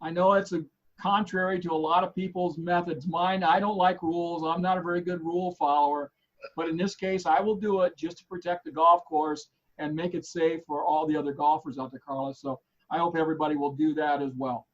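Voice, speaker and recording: A man co-hosting a golf news show in casual chat, hoarse and losing his voice, rambling with many fillers and restarts. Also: on the high side, steady tone, fairly quick, highs cut off.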